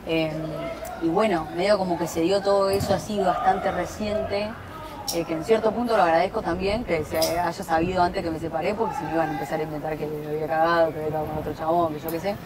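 A woman's voice: lowish voice, fairly even intonation, fast, a loud crowd. A young woman in her twenties with an Argentine accent, chatting casually as a guest on an outdoor terrace, full of fillers and restarts. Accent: Argentine Spanish. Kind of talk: casual chat. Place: outdoor terrace, seated at a table. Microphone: lapel.

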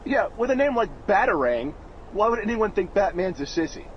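A mouthy young American paratrooper in a war game. His voice is high and swings widely as he needles and argues sarcastically, with faint vehicle noise outdoors behind him. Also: sing-song, normal speed, highs cut off. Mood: teasing. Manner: sarcastic, argumentative.

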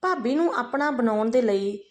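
A woman's voice: fairly high pitch, animated, normal speed, close to an everyday voice. A woman voicing a caring, perceptive and persistent sister-in-law in a family story, earnestly questioning and advising. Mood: earnest, admonishing.

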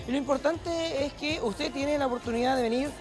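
A young man with a Chilean accent, a TV reporter walking a craft fair and pitching it to viewers with a handheld mic. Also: a little fast. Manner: upbeat, persuasive, addresses viewers directly. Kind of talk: promotional TV fair walkthrough. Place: indoor craft fair hall. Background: background music.

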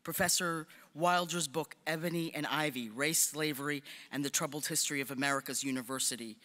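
Man reading an intro at a graduation, bright voice, little jokes, hall echo. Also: fairly high pitch, a little fast. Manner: warm, joking aside in formal introduction. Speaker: speaker introducing the commencement speaker. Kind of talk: formal introduction speech. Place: large hall stage at podium. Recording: long hall reverb.

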